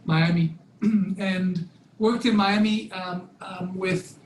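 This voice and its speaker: A man's voice: high-pitched, fairly even intonation, slow pace, echoey room, a little hiss or hum, poor audio. A man in his forties from Belgium, whose first language is Dutch, giving a talk on a video call with many fillers and restarts. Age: 40s. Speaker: guest speaker, hotel company president.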